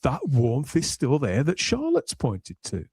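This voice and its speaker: A man hosting a podcast with conversational commentary, speaking in articulate, measured, storytelling phrases. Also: medium pitch, wildly swooping pitch, darkish tone, husky, no room sound. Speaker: podcast host.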